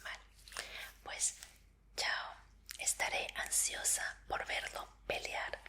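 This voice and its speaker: A woman in an ASMR roleplay as a medical examiner, speaking in a breathy whisper with a gentle, polite, reassuring manner. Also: slow pace.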